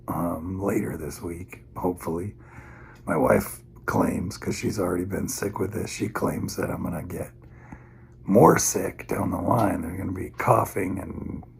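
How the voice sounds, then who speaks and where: A man talks through his video diary while fighting a cold, his voice hoarse and gravelly with fry. He speaks slowly and thinks aloud, with a faint hiss of noise behind him. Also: fairly low pitch. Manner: rambling, thinking aloud with small laughs. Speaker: health vlogger.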